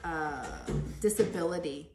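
Middle-aged female podcast host, lower register, casual and encouraging, roomy echoey sound. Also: a little slow, music in the background. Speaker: podcast host interviewing her son. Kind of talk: casual conversational interview.

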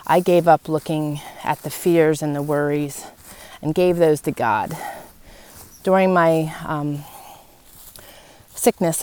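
A woman with a slightly low voice talks directly to her listeners as a podcast host. She is earnest and encouraging, setting out her thoughts in short, reflective phrases with pauses between them. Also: darkish tone. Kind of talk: personal, faith-based motivational talk to listeners. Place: outdoors in wind.

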